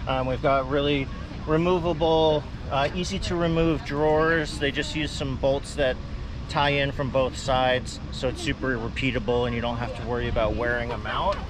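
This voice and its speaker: A man's voice: mid-range voice, steady tone, normal speed. Guy in his 30s explaining his gear outside, lots of ums, noisy background. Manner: matter-of-fact, lots of ums. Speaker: gear vendor. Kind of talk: product explanation. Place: outdoor expo, next to a truck.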